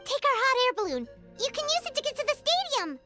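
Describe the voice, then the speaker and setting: A tiny companion creature from a video game. The voice is extremely high, squeaky and childlike. It bounces widely in pitch, excitable and earnest, over soft music. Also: female voice, quick pace. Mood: earnest encouragement.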